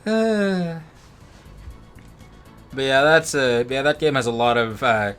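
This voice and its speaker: A man's voice: medium pitch, sing-song, slow pace, fairly bright tone, smooth. Male let's play co-host, casual and wordy, repeats and restarts words, game music underneath. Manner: rambling, stammering with repeated words. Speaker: gaming video co-host. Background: video game music.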